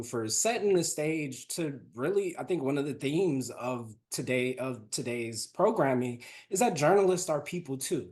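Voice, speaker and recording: Polite male panel moderator, medium pitch. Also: a little mellow. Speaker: webinar moderator.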